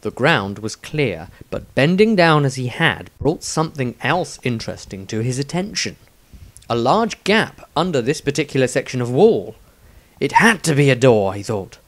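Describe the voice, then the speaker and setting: A man reading his own fantasy story aloud in a deliberate, dramatic storytelling manner. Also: medium pitch, sing-song, no echo. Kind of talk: scripted fantasy audiobook narration.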